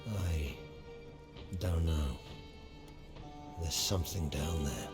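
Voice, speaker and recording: A gruff, extremely low-voiced bounty hunter for a game cutscene. He talks in curt, deadpan lines, hardened and unimpressed, with music behind him. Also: drawn-out words, heavily acted. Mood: grim, reporting. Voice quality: gruff, very deep. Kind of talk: video game cutscene acting.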